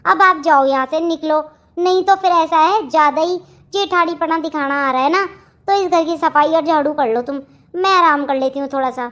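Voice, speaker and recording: A performer plays a young newlywed daughter-in-law in a family cartoon. Her voice is extremely high, and she talks curtly, with irritable, sarcastic bite. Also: moderate pace, fairly bright tone. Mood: defiant. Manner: curt, snappish, sarcastic. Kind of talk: Hindi family comedy cartoon dialogue.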